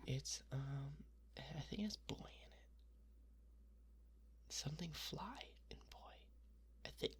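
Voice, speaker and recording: Breathy, teasing young woman whispering ASMR. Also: low-pitched, takes it slowly, long pauses. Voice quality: whispering throughout. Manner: playful, teasing, rambling, repeats words over and over. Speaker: ASMR creator.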